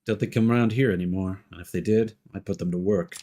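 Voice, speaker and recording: A man's voice: low voice, steady tone, quick pace, dark tone. Elderly male game character: folksy, grudging, unimpressed grumbler. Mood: grumbling. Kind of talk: video game cutscene acting.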